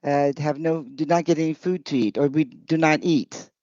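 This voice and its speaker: A woman's voice: deep voice, normal speed, warm tone, no echo. A woman interpreting at a public hearing in short, hesitant, piecemeal phrases. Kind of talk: halting consecutive interpretation. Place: committee hearing. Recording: dull, muffled audio.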